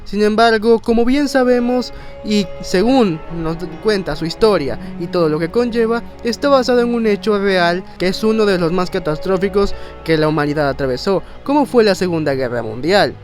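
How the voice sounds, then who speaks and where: A man's voice: sits high, moderate pace. A man narrates an informal countdown video. He explains things in a wordy, conversational way. Faint music plays behind him. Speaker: video narrator, content creator.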